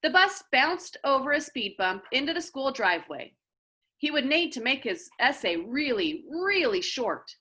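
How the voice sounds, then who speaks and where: A woman reading a children's book aloud as an expressive narrator. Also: high voice, moderate pace.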